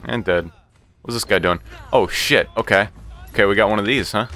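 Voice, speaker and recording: A man's voice: fairly low pitch, normal speed, pushed and bright, soft background music. A man giving casual, flippant gameplay commentary, with playful little sound effects. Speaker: gaming video host.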